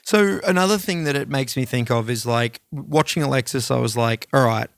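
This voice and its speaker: A man's voice: medium pitch, fairly quick, gravelly, no room sound, studio quality. A man co-hosting a casual music discussion, talking in an informal, rambling and opinionated way. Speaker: podcast co-host.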